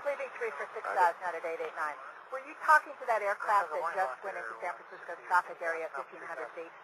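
A man talking as an airline pilot over aviation radio, halting and stumbling over his words. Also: high-pitched, a crowd in the background. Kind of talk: air traffic radio exchange. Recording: noisy, static-filled cockpit radio transmission.

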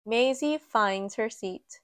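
A woman reading a children's picture book aloud in a gentle, expressive storytime voice. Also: on the high side, no room sound, clean studio sound. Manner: slow, gentle and expressive, lifting for quoted lines. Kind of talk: read-aloud storytime narration. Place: indoors at a table, book filmed from above.

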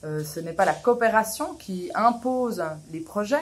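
Thirties female social worker, calm measured delivery with frequent pauses. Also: medium pitch, slightly rough. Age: 30s. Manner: measured, with many hesitations. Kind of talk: casual testimonial. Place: indoors, small room.

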